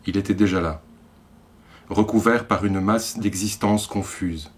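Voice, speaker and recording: A man with an accent from France reads literary poetry in a measured way, in short phrases set apart by deliberate pauses. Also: fairly low pitch. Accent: French from France. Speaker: poet reading own work.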